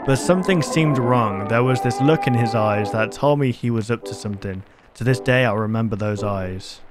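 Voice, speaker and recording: A man playing a grave investigator in scripted analog horror narration, formal, measured and ominous. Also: medium pitch, close to an everyday voice. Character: grave investigator reading a doomed ship's log.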